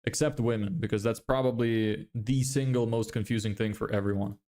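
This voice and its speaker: A man's voice: fairly low pitch, fairly even intonation, brisk, darkish tone. Casual young man livestreaming, swearing. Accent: American-sounding English. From Lithuania. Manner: loose, self-deprecating, swears casually, laughs often. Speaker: livestreamer building a business. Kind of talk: casual livestream chat reading viewer comments.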